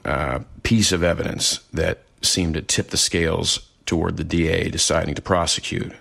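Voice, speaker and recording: Small-town detective, low creaky voice, dry close sound, deliberate, matter-of-fact, audio drama interview. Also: male voice, a bit bright, no echo, full character voice. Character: measured male small-town police investigator recounting a case.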